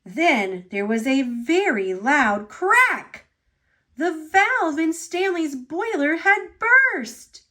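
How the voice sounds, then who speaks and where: Woman reading a children's story with lively pitch. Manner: dramatic, deliberate phrasing for young listeners. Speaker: storybook reader. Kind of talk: expressive children's read-aloud narration.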